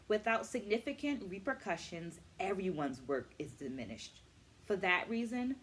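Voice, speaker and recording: A middle-aged woman, an educator, hosting a book vlog with deliberate, instructional delivery and emphatic enunciation. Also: lowish voice, slight background noise. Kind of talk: book review vlog, explanatory talk to camera.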